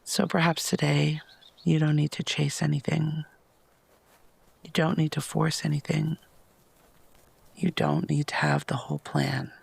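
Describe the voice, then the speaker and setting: A man with a slightly high-set voice guides a meditation in a soft, gentle tone full of pauses. Heavy vocal fry runs through his phrases, which often fade toward a whisper. The sound is close and dry. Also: level tone, no echo. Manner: soft, breathy, lapsing into near-whispers; unhurried, gentle, with long pauses.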